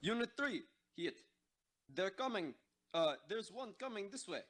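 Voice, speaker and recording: A man playing a stern, demanding tank commander, giving halting, clipped orders in an amateur audio drama. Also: high voice, sing-song, fairly bright tone, pitched above the performer's own voice, exaggerated voice. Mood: commanding.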